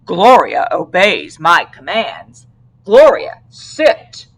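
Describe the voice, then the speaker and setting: A woman voicing an earnest male police officer who announces safety tips in an emphatic, deliberate way for a storybook. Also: on the low side, sing-song, husky, full character voice, poor audio. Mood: earnest, instructive.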